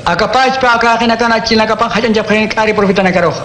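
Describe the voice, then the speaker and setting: An actor playing a calm male preacher who teaches crowds in a steady, preaching manner, in a radio drama. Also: sits high, very fast. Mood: teaching. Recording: echoey room, faint noise.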